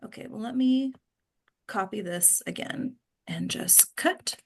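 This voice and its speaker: A woman's voice: mid-range voice, takes it slowly, gravelly, breathy edge. A woman hosting and directing an online group session, giving casual instructions over a video call. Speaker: host and director of a table read. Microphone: video call microphone.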